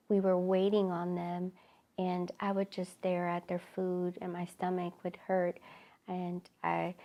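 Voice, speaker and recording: A middle-aged woman from El Salvador tells her personal testimony in a halting, reflective way with many pauses. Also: lowish voice, warm tone. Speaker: ministry founder.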